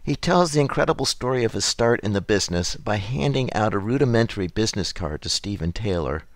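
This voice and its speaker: A male podcast host with a very low, deep voice reads his introduction in a measured, formal way. The sound is dry and close, with no room around it. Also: animated, no room sound. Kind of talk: scripted introduction and interview questions.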